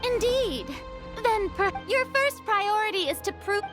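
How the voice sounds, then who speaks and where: High, haughty, theatrical goddess prosecutor. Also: female voice, lively intonation, measured pace. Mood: triumphant, boastful. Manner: pompous, taunting, theatrical. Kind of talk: video game voice acting. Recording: game music behind voice. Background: game music.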